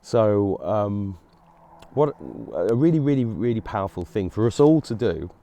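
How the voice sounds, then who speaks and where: A man's voice: on the low side, lively intonation, a little slow, dark tone. A middle-aged man hosting his own show, talking earnestly and rambling, with many restarts. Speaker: host. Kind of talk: solo monologue to camera.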